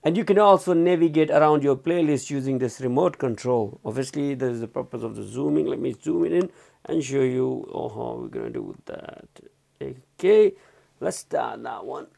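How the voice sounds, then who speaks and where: A man's voice: medium pitch, lively intonation, moderate pace, vocal fry, warm tone, faint music behind. A man giving a casual, unscripted product demonstration as a reviewer, repeating and rephrasing as he explains. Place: small indoor room at a desk.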